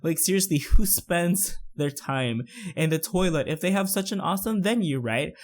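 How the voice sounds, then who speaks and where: Young man, twenties, from the Philippines, chatty, rambling, slightly high voice. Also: lively intonation, normal speed. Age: 20s. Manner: chatty, rambling, many fillers. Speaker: YouTuber narrating a build. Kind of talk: casual voice-over commentary.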